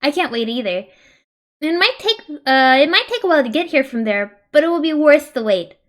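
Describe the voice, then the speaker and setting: A woman voicing a gentle, upbeat teenage girl who chats online in a sweet, reassuring manner. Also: high voice, sing-song, a little fast.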